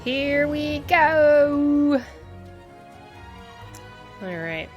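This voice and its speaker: A woman's voice: sits high, animated, very slow, dull audio, soft background music. A woman livestreamer giving casual, off-the-cuff commentary while playing a video game.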